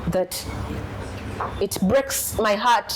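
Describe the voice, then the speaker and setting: A woman with a strong, full voice speaks in an interview, emphatic and heartfelt. She doubles back on words when she gets moved. A steady hiss of background noise sits under the recording. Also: medium pitch, extremely slow. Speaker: interview guest. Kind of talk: candid conversational interview.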